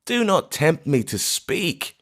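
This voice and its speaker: A shrieking grief-mad old astronomer. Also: male voice, a little high, very animated, takes it slowly, a bit bright, pitched above the performer's own voice, heavily acted. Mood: bitter. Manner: shrieking, bitter, anguished outcries. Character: grief-maddened old male astronomer, raving.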